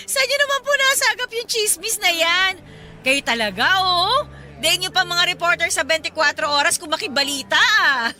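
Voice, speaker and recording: A young single mother acted by a woman in a radio drama, with a high, very piercing bright voice. She is defiant, answering politely but with pointed sarcasm. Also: lively intonation, a little slow, soft background music, heavily acted. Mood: sarcastic, indignant.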